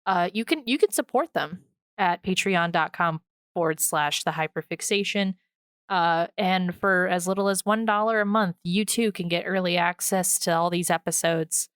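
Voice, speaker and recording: A woman's voice: lowish voice, normal speed, a bit bright, smooth, no echo, clean studio sound. A woman co-hosting a podcast, giving casual outro plugs in an informal, jokey way. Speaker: podcast co-host.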